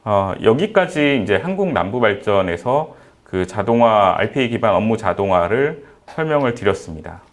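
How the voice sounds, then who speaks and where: A man giving a business presentation as a conference speaker, with a halting delivery that often corrects itself. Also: lowish voice. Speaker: conference presenter, utility company manager.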